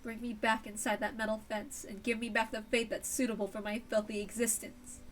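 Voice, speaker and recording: A woman voicing a tormented, self-loathing female villain in a hushed, anguished, deliberate read-aloud of a visual novel. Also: fairly high pitch, flat delivery, recorded from a distance, natural voice. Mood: resigned pleading.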